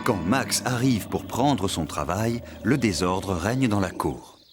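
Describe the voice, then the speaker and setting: A calm storyteller narrates a children's cartoon. The voice is low and unhurried, with music underneath. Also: male voice. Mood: calm storytelling.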